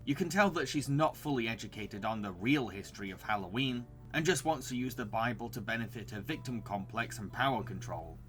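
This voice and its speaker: A man's voice: medium pitch, lively intonation, brisk, few pauses, a bit bright, soft background music. A man reading scripted narration as a video reviewer.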